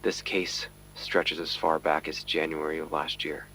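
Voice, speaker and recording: A weary police detective narrates in an extremely deep, gravelly voice with little rise and fall. He sounds grave and uneasy, as if he might be watched. A faint hum sits behind him. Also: flat delivery, measured pace, sharp tone, full character voice, low-quality recording. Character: weary male police detective recording his case. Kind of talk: scripted audio drama narration.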